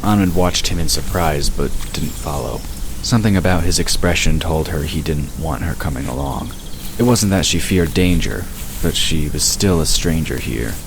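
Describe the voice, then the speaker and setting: A man with an extremely low voice narrates a fantasy story in a scripted audiobook style. Birdsong, crickets and clear background noise sit behind his voice. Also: moderate pace. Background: birdsong, crickets, outdoor ambience.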